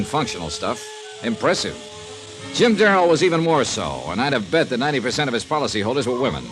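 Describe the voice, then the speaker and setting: A man playing a brisk, wry insurance investigator in 1950s radio detective style, with crisp, punchy delivery. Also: mid-range voice, fairly bright tone, low-quality recording. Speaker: radio drama lead and narrator. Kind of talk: 1950s radio detective acting, first-person narration. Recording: old radio recording with music.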